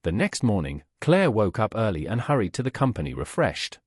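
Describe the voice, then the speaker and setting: A man reading a story aloud as scripted narration, with a husky voice. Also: lowish voice, big pitch swings, a little fast, a little mellow, no echo, clean studio sound. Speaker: audiobook narrator.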